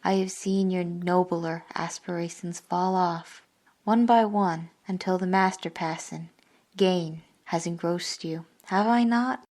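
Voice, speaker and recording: An actress playing a sorrowful yet resolute young woman in a classic radio drama, speaking gravely and deliberately. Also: fairly low pitch, darkish tone. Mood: sad, reproachful.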